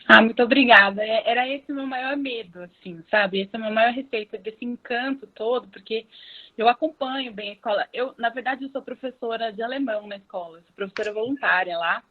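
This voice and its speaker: Earnest woman parent, slightly high voice, phone-quality audio. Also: fairly quick. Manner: grateful, earnest. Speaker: parent and volunteer German teacher. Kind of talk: online Q&A.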